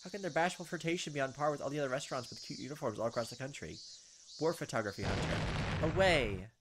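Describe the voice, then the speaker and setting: Fast-talking man narrating a visual novel. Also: mid-range voice, lively intonation, noisy background. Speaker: gameplay host. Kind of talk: visual novel read-aloud with casual commentary. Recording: loud game music behind.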